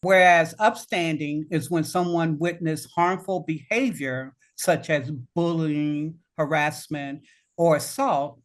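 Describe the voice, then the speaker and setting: Measured woman hosting a podcast. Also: sits low, fairly even intonation. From Indiana. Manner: measured, welcoming, reads scripted intro. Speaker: podcast host. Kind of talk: podcast interview.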